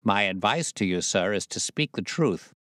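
A man's voice: lowish voice, expressive, normal speed, fairly bright tone, no room sound, studio quality. A man voicing a shrewd, self-assured detective in an audiobook, reasoning in a formal, incisive manner. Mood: cool, questioning. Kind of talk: audiobook character voice.

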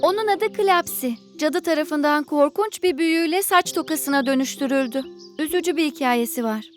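A woman voicing a kind, playful young fairy-tale girl in a children's cartoon, sweet and expressive. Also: sits high, normal speed, natural voice. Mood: friendly. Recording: light music and birdsong behind.

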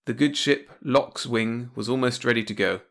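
A man's voice: medium pitch, moderate pace, a bit bright. A man reading a comic pulp science-fiction short story as scripted audiobook narration.

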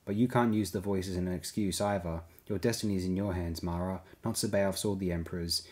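A man voicing an earnest, calm young Jedi in an audiobook, speaking in a quiet, measured, sincere way. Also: low-pitched, natural voice. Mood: quietly firm.

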